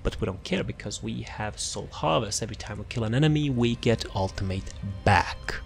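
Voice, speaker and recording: A man with a fairly low voice and a creaky, fry-laden edge gives an off-the-cuff gaming guide. He rambles through options and doubles back on words as he searches, with light music playing behind him. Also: fairly quick. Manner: rambling, repeats words and phrases while searching. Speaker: video game build guide creator. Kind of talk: casual, unscripted game build walkthrough commentary. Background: background music.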